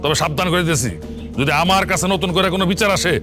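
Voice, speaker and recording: An actor playing a stern, scolding elder uncle in a village family drama, with a Bangladeshi accent and an accusing manner. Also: male voice, sits high, rapid-fire, music in the background, close to an everyday voice. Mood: indignant, stern. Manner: accusing, interrogating, threatening. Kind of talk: television family drama acting.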